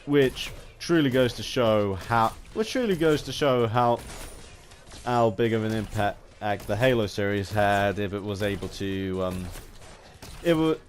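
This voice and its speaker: A British man giving a rambling video game commentary, haltingly, often repeating and rephrasing his phrases as he goes. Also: lowish voice, lively intonation, a little hiss or hum.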